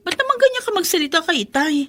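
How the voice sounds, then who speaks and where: An actress playing a dutiful, reproachful elder sister in a radio drama, earnest and chiding in manner. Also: female voice, very high voice, sing-song, racing, bright, forceful tone, exaggerated voice.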